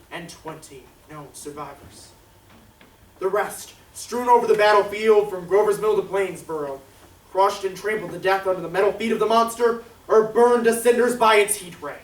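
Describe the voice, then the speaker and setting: A man playing a grave, formal radio announcer delivering emergency bulletins in a 1930s broadcast style. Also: sits high. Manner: solemn, formal, measured. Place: theatre stage, live performance. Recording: echoey distant stage recording, faint noise.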